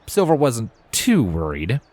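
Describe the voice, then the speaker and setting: A man reading a story aloud as narrator, in an expressive scripted storytelling style. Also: mid-range voice, measured pace.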